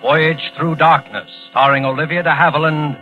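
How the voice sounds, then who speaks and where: Actor as ominous mystery host over loud music. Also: male voice, a little high, fairly even intonation, lightly acted. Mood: ominous, formal. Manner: formal, dramatic, deliberate. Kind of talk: 1940s radio suspense introduction. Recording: dull 1940s radio recording.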